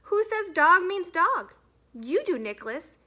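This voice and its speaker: A woman's voice: extremely high pitch, very animated, measured pace, bright, forceful tone, pitched above the performer's own voice, full character voice. A woman voicing a stern, authoritative female schoolteacher in a storybook, lecturing with emphasis.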